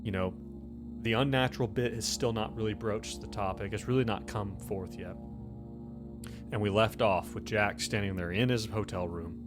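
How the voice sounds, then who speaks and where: Low-voiced man running a tabletop game over music. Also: fairly even intonation, moderate pace. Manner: conversational, prompting players with questions. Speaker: game master and host. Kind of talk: casual tabletop roleplay narration. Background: instrumental music bed.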